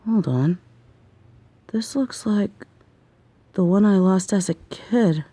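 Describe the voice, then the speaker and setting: A young adult woman performing a scripted horror narration, telling the story in a hushed, tense manner. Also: medium pitch, takes it slowly. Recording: very echoey room.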